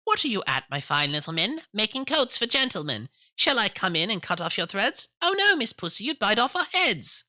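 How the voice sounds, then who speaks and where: Man voicing teeny cheeky mice, high twittery singsong, bright and pushy. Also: big pitch swings, moderate pace, few pauses, full character voice. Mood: merry singing. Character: tiny merry little tailor mice.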